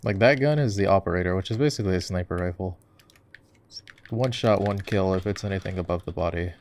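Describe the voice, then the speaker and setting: A man giving relaxed, casual gaming commentary in an ASMR roleplay, speaking off the cuff as he plays. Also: sits low, quick pace. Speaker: gamer, voice actor playing a gamer boyfriend. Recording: faint keyboard clicking and typing noise. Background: keyboard typing and clicks.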